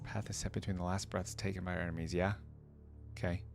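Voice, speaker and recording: Very low-voiced woman musing casually. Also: steady tone, quick pace, creaky, loud music behind the voice. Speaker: reaction video host. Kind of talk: casual off-the-cuff reaction commentary.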